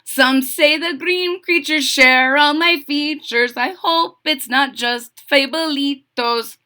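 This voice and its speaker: A woman voicing an excitable, imaginative little boy kitten in a storybook, with exuberant, dramatic exclamations. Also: high voice, slow pace, pitched above the performer's own voice, full character voice. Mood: playful sing-song.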